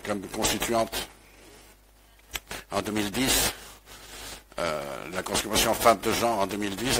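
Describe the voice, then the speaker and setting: A man's voice: fairly low pitch, background noise. A man interpreting a webinar talk live, hesitant, with many fillers and restarts.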